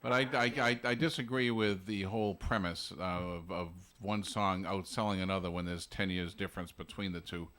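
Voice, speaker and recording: A man's voice: deep voice, fairly even intonation, moderate pace, few pauses, fairly bright tone. A man hosting a morning radio call-in show, casual and ready to argue. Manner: casual, argumentative.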